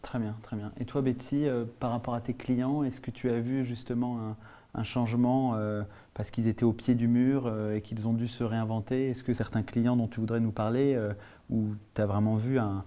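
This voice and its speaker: A man hosting and moderating a business panel, halting and full of fillers as he introduces guests and asks questions. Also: mid-range voice, little pitch movement, mellow. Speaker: host and founder. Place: studio.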